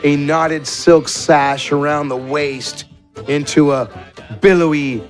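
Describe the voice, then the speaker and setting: A man narrating a tabletop game as game master, searching for words in drawn-out descriptive phrases. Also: a little high, fairly even intonation, faint music behind.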